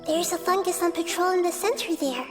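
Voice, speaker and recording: Gentle, curious little girl goddess in a fantasy game, very high and soft, over clear game music. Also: fairly quick. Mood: calm. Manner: soft, calm, earnest.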